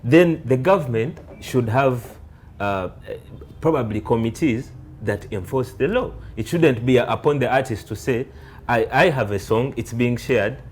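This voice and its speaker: A man hosting an interview, asking questions conversationally in a somewhat hesitant, halting way. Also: medium pitch, husky. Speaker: interviewer, host.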